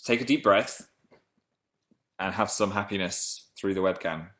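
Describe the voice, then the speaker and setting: A man in his twenties from England with a deep voice, chatting playfully on a webcam livestream while reading comments. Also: a little slow, a bit bright. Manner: playful, jumps between high and low pitch. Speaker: livestream host. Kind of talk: casual live chat reading comments.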